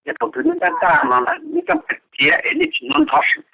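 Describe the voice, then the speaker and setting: A man's voice: very high voice, brisk, creaky, bright, forceful tone. A man speaking conversationally as a participant on a telephone conference call. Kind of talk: conversational speech on a group call. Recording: dull, lo-fi telephone conference line.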